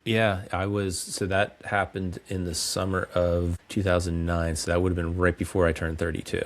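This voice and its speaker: A man in his forties, a coach and speaker, telling his story candidly and in a measured way as a podcast guest. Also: low-pitched, flat delivery. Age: 40s. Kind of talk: reflective podcast interview.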